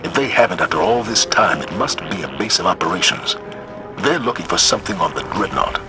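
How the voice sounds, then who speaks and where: Hardened military commander, stern, deliberate, authoritative, video game, loud score underneath. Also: male voice, low-pitched, very animated. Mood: tactical, grave. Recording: game audio with loud music.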